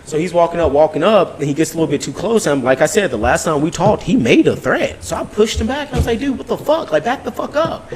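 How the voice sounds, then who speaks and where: A man telling a story in an animated, casual way, swearing freely in slangy speech and acting out both sides of arguments. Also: a little high, fast, rough recording. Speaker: podcast host recapping his weekend.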